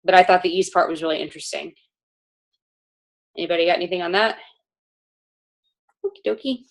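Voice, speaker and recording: Woman, casual product presenter, slightly low voice, chatty and filler-heavy. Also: brisk, creaky. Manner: chatty, informal, many fillers.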